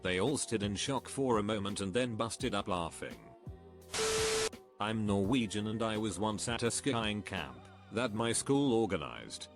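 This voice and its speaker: A man reading anecdotes aloud as a scripted narrator, at a steady, even pace. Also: lowish voice, measured pace. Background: faint background music.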